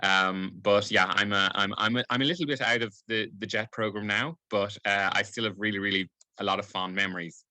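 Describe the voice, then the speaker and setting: A man's voice: lowish voice, fairly even intonation, a little fast, sharp tone, dry recording. A man in his late forties from Cork with a light Irish accent, giving a webinar talk with many ums and restarts. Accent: light Irish, not strongly regional. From Ireland (Cork). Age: late 40s. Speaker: embassy staff speaker. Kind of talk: webinar presentation.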